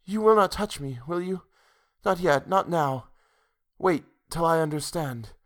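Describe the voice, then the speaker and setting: A male reader performs a young ghost woman from a romantic storybook. She is tender and mournful, speaking high and hushed. Her words come haltingly, as a gentle plea to the one she loves. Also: lively intonation. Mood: hushed, pleading.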